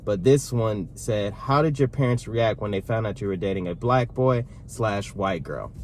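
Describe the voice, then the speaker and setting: A man in his thirties co-hosting a casual sit-down Q&A with his partner, talking in a relaxed, rambling way. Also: fairly low pitch, normal speed, slight background noise. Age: 30s. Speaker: vlog co-host.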